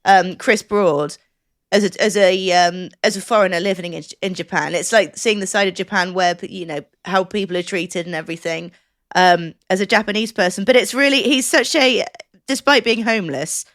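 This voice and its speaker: A woman's voice: mid-range voice, normal speed, fairly bright tone, no room sound, clean studio sound. A woman chatting casually as a podcast co-host, explaining things in an enthusiastic, rambling way.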